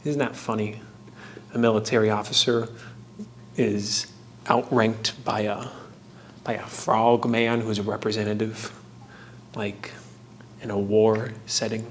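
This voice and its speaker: A man narrating a hobby craft tutorial casually, sharing fun facts with jokey asides in short, halting phrases. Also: on the low side, level tone. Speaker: host of a hobby craft video. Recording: clear background noise, room ambience.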